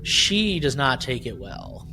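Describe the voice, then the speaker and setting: A man's voice: medium pitch, sing-song, takes it slowly, music in the background, poor audio. A man hosting a podcast, recapping a story in a rambling casual way and often checking in with "right?". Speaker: podcast host. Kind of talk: casual chat recapping an audio drama. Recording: clear background noise.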